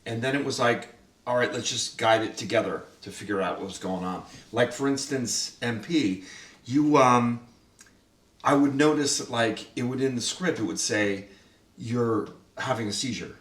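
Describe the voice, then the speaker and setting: Laughing, animated man in a roomy space. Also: mid-range voice, moderate pace. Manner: animated, laughing often, many fillers. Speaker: host, director of the radio play. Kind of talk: casual roundtable chat. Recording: roomy sound, mic at a distance.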